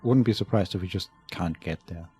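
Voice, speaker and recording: Chill guy doing a lets play, husky mellow voice, reads out options casually, soft music in the back. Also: sits low, fairly quick. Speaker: let's play co-host. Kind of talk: casual chat and reading out game options.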